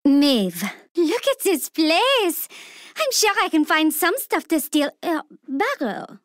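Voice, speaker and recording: Sassy high-pitched young thief girl. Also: very animated, takes it slowly, dry recording, studio quality, heavily acted. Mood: cocky, teasing. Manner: sassy, taunting, playful. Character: cocky young female street thief with knives. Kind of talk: video game character voicelines, short barks.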